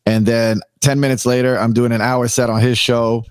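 A Canadian man with a raspy, worn voice from long nights of streaming. He tells his stories with animated enthusiasm at a mid pitch, recorded close and dry with no room around him. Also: normal speed, dry recording. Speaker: guest DJ. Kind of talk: candid podcast conversation.

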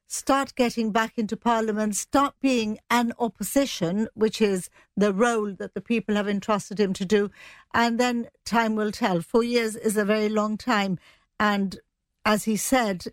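A woman's voice: medium pitch, no room sound. Matter-of-fact woman analyst, dry studio sound. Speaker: political commentator. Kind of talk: conversational political analysis.

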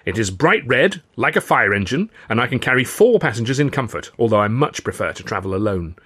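A man's voice: mid-range voice, big pitch swings, fairly quick, sharp tone, breathy edge, no echo, exaggerated voice. Man acting a vain, boastful film comedian. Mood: pleased, expansive. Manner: boastful, theatrical, emphatic. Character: vain, self-satisfied male film comedian showing off his success.

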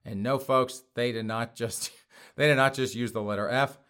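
A hoarse man hosting a show, reading news items and mocking them with frequent laughs. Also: mid-range voice, steady tone, normal speed, fairly bright tone. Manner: sarcastic, laughs often between phrases. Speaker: podcast host. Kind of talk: casual mocking commentary.

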